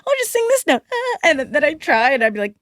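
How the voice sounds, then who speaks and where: A woman's voice: high-pitched, big pitch swings, fast, fairly bright tone, no room sound. A chatty woman in her thirties, talking freely as a podcast guest. She fills her talk with likes and ums and slips into little voices for quoted people. The sound is close and dry, with no room around it. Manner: chatty, many fillers, acts out quoted voices. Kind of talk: casual interview chat.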